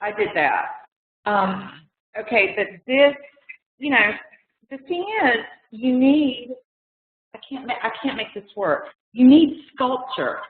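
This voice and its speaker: A woman's voice: on the high side, slow pace. A woman art collector talking casually in a webinar, rambling with lots of fillers and restarts, and losing her voice. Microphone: video call. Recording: echoey room over tinny video call.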